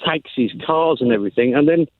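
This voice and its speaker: A man's voice: on the high side, animated, a little fast, dry recording. A man calling in to a radio show, chatty and enthusiastic with hesitant restarts. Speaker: caller. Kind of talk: phone-in call. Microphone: phone line. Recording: tinny phone line.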